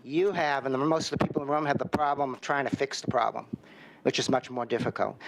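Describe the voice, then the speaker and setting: A man's voice: fairly high pitch, low-quality recording. An older man with a Boston accent, testifying at a hearing in a chatty way with many fillers and restarts. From Boston, USA. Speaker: expert witness. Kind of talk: formal testimony with casual asides. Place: hearing room. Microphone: table microphone.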